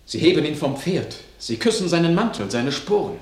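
Excited courtier, animated proclaiming delivery, roomy echo, classical radio play. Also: male voice, a little high, moderate pace. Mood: excited, reporting. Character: excited courtier announcing a great arrival. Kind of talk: classical German stage verse radio drama.

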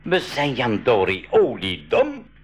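Casting: chummy, excitable professor for a radio play, mid-pitched voice with big swoops. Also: male voice, slow pace, slightly rough, soft background music, full character voice. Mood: excitable, exasperated. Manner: colourful exclamations, jovial. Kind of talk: 1980s school radio drama acting.

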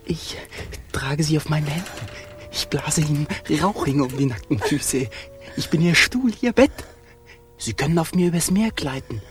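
Schwärmerischer Seemann eines Schauspielers über deutlicher Musik. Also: male voice, a little high, animated, normal speed, faint music behind, natural voice. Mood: ardent. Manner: ardent, poetic. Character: devoted, pleading, lovesick sailor man. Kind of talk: German radio drama acting.

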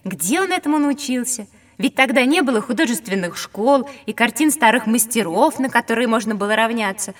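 A woman's voice: on the high side, animated, a little fast, dry recording, clean studio sound. An actress voicing an inquisitive, wondering young girl in a scripted educational audio drama for children. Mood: admiring, wondering. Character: bright, wondering young girl on a learning adventure.

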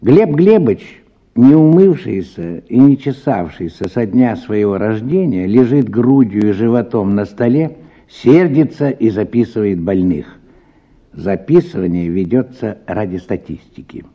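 A man's voice: mid-range voice, muffled recording. A man narrating a scripted story as part of a Russian radio drama.

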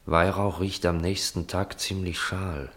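Deep voiced guy narrating a radio drama, literary reading. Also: steady tone, a little slow, fairly bright tone.

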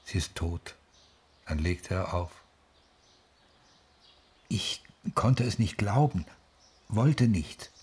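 Low, husky grieving father. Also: lively intonation, darkish tone, full character voice. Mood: grieving, stunned. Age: middle-aged. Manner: halting, reflective. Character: grieving, reflective father of a lost daughter. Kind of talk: radio drama, interview-like monologue.

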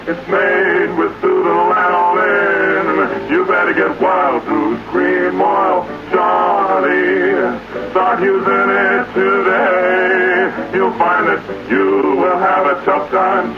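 A man performing a vintage radio advertising jingle. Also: high voice. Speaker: commercial jingle singer. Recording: dull vintage radio ad, music. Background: prominent music.

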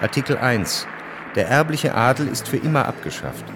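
A man acting as a sober radio play narrator. He delivers each phrase in a measured, declarative way, with clear music behind him. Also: mid-range voice, sing-song, close to an everyday voice. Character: sober narrator reading chronicle and decrees. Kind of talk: scripted radio drama narration.

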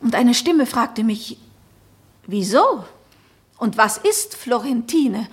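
A married noblewoman who doubts who she is. Her voice is high and her phrasing solemn and poetic. She speaks as in a literary radio drama, earnest and pleading. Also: animated, takes it slowly, breathy edge, heavily acted. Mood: troubled reflection. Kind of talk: literary German radio play acting.

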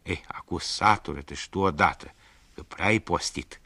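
A man voicing a quick-tempered, gullible old tailor who scolds in loud outbursts. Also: low voice, no room sound, distant mic, exaggerated voice. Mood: coaxing. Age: older.

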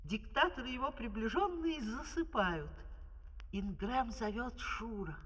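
A man's voice: very high voice, lively intonation, unhurried, long reverb tail, low-quality recording. A man narrating a fairy-tale opera from a script on an old vinyl record.

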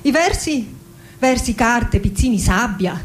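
An actress playing a middle-aged Argentine woman poet in a theatrical radio monologue, reciting lyrically and deliberately with dramatic pauses, melancholy, wry and passionate. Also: on the high side, lively intonation, a little hiss or hum. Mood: melancholy, musing.

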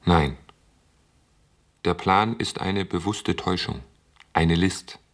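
A man playing a wounded, distrustful artificial being in a science fiction radio drama, speaking in slow, terse, stubborn statements. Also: low-pitched. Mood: defiant.

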